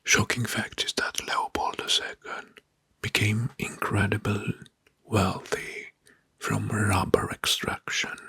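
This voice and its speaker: A man whispering an ASMR history narration in a breathy, mostly unvoiced voice, pausing between short phrases and often repeating words. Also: lowish voice, sharp tone, no echo. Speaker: ASMR host presenting history facts. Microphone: close microphone.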